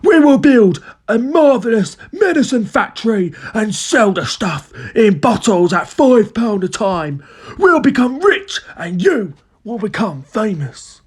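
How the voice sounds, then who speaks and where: A narrator voicing an excitable, domineering storybook father, insistent and exclamatory. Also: medium pitch, a little slow, hardly any pauses, breathy edge, pitched above the performer's own voice. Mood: excited. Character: excitable, domineering father with grand money-making schemes.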